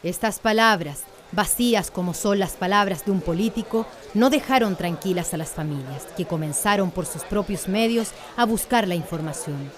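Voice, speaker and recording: Mid-pitched Chilean woman narrating a radio drama. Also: expressive, normal speed, hardly any pauses, a crowd in the background.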